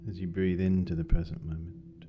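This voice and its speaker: A meditation guide speaking gently and unhurriedly, with long pauses, leading a guided meditation. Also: deep voice, level tone. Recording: dull lo-fi sound, music behind. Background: meditation music.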